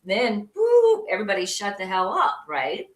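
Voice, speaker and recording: A woman's voice: mid-range voice, wildly swooping pitch, moderate pace. A woman co-hosting a casual opinion talk show, blunt and salty in her remarks. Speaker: co-host. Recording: echoey room, voice far from mic.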